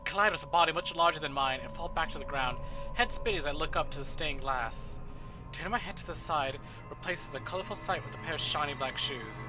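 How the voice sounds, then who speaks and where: A fairly high-pitched man reads a visual novel aloud for a stream. His narration is theatrical and expressive, with clear game music playing behind him. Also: a little fast, dull audio. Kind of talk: dramatic first-person story narration with asides.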